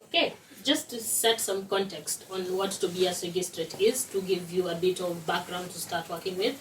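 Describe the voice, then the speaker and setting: A young woman from Kenya, explaining a student project to an audience in a steady way. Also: fairly low pitch, normal speed, slight background noise. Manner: explanatory, steady, uses "basically" as a filler. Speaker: student presenter. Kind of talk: presentation. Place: lecture room. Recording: roomy echo, distant mic.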